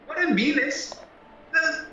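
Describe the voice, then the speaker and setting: Male actor as nervous naive young man, rambling, polite, bright voice, distant muffled recording, radio drama. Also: very high voice, lively intonation, slow, slight background noise, full character voice. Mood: anxious. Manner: anxious, polite, hesitant, rambling. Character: nervous young male drifter accused of murder, naive and chatty. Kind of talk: stage-style radio play acting. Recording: roomy, distant, muffled, music underneath.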